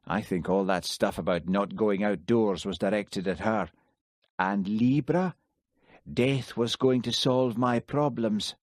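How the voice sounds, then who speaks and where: A dogged Highland village policeman, as a male narrator puts him on, speaking in a lowish voice with a Scottish Highland accent. He talks in a dry, sarcastic way, and the close, dry recording suits this audiobook. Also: slow, dry recording. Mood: stern.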